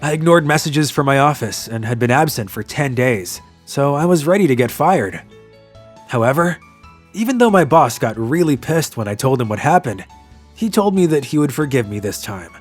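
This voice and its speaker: A man's voice: mid-range voice, expressive, normal speed, lightly acted. An actor playing an earnest young office worker, narrating his own love story in a manga drama, with music behind. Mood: wistful, reflective.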